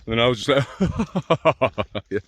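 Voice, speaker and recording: A man, talking in a deep, mumbling voice in a casual walking vlog outdoors, rambling with dry self-mocking asides. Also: expressive, slow, a bit bright, no echo. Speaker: long-distance hiker vlogger. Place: outdoors on a hill trail.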